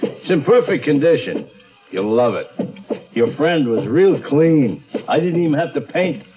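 An actor playing a gruff, curt, streetwise apartment manager in a 1970s radio drama. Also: male voice, on the high side, big pitch swings, a little slow, faint music behind, heavily acted. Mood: brisk, offhand. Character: gruff, impatient apartment manager turned eager to rent. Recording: muffled, narrow-band 1970s radio recording.

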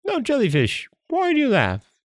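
Storybook monkey, played by a man: high-pitched, clever and excitable, exclaims in old-fashioned phrases, very dry close sound. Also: normal speed, dry recording, heavily acted. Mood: wary, curious. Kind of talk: storybook character voice.

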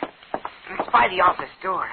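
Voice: medium pitch, lively intonation, slow pace, creaky. A performer playing an eager, whiny young boy who hangs around gangsters, pleading emotionally in a 1950s radio thriller. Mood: eager, anxious. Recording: tinny, hissy old radio recording.

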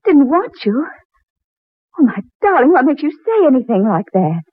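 A woman's voice: high-pitched, very animated, moderate pace, dry recording, phone-quality sound. An actress playing a devoted, anxious young woman in love, tender and earnest, in 1940s radio drama style. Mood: pleading.

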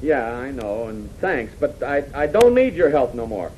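An American actor playing a brusque, evasive, tough pilot with slangy talk in a 1940s radio drama. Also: male voice, mid-range voice, sing-song, moderate pace, close to an everyday voice. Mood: guarded, impatient. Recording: muffled, noisy 1940s radio recording.

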